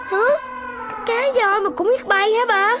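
A woman's voice: very high voice, steady tone, measured pace, loud music behind the voice. A woman voicing a curious, cheerful little puppy boy in a children's cartoon, childlike and eager.

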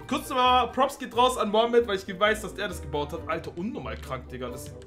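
A man's voice: high-pitched, brisk, faint music behind. A man in his twenties who speaks slangy speech, chatting casually and swearing as he hosts a gaming video with friends. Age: 20s. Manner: excitable, slangy, swearing banter. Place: small room at home, indoors.

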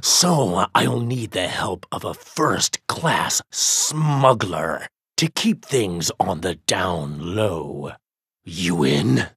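A man playing a gruff, cunning, theatrical reptilian alien bounty hunter with a raspy, gravelly voice in a video game. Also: sits low, lively intonation, extremely slow, a bit bright, dry recording, clean studio sound, full character voice. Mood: downcast, scheming. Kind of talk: video game character acting.

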